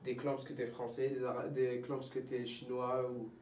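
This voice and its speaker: A high school student in his late teens, answering in a casual group interview, hesitant and repeating himself. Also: little pitch movement, moderate pace, a little mellow, slight background noise. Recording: echoey room, from far off.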